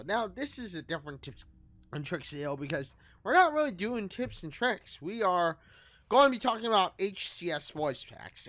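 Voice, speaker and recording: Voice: mid-range voice, fairly bright tone, no room sound. A gaming video host giving a casual, rambling review, often repeating and rephrasing. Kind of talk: casual scripted-light game review commentary. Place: small room at home, indoors. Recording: music playing behind the voice. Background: background music.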